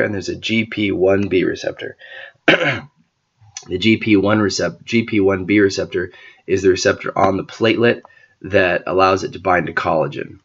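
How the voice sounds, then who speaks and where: A man who is sick and losing his voice gives an informal whiteboard lecture, often clearing his throat and using filler words. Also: sits low, dull audio. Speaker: teacher explaining a medical topic.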